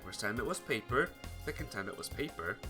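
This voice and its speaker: A man playing and reading a visual novel aloud in an expressive way while chatting to viewers. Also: on the low side, fairly quick. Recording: music behind the voice. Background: game music.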